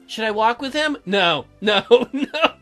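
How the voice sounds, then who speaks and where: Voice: medium pitch, lively intonation, slow pace, fairly bright tone, faint music behind. A let's player reading a visual novel aloud, joking crudely and irreverently between the voiced lines. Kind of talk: comedic game playthrough, voicing characters.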